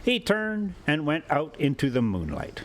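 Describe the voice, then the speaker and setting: A man hosting a story time, reading classic prose aloud in a measured, deliberate way. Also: on the high side, wildly swooping pitch. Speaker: host and story reader. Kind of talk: audiobook-style story narration with casual asides.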